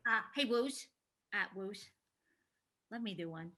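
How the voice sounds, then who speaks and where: Casting: excitable, dim monster sidekick for a stage play, piercingly bright, swooping voice, hesitant and dopey. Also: female voice, mid-range voice, a little slow. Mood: eager, fretful. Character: excitable dim-witted Heffalump henchman creature. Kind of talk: livestreamed stage play reading.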